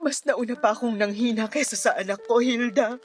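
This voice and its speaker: A woman's voice: fairly high pitch, fairly quick, close to an everyday voice. A woman playing a worn-out, despairing young mother in a Filipino radio drama, with a Filipino accent and a sullen, pleading manner. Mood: tearful, anguished. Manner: curt and sullen, breaking into tearful pleading. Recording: music behind the voice.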